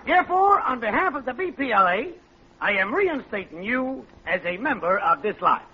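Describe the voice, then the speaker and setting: An actor playing a pompous, formal lodge leader in a 1940s radio comedy. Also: male voice, extremely high pitch, big pitch swings, moderate pace, bright, forceful tone, heavily acted. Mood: officious. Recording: muffled, slightly noisy old radio recording.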